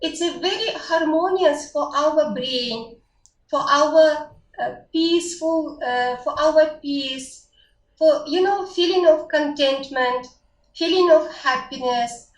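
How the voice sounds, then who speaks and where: Kazakh woman in her forties, guest dance teacher, unhurried, high voice, distant roomy mic. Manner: hesitant, many fillers, earnest. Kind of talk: casual interview answers.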